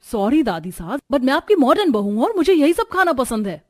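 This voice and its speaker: Haughty modern young wife, high-voiced woman acting. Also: sing-song, fairly quick, no room sound, clean studio sound. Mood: indignant. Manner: sassy, peppers Hindi with English phrases. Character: haughty modern city daughter-in-law, young, snobbish.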